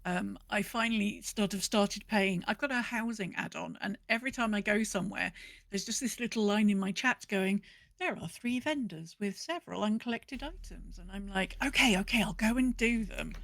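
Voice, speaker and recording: Female podcast host, mid-pitched, rambling conversational delivery, dry close sound. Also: moderate pace, gravelly, a bit bright, dry recording. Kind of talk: casual gaming podcast chat.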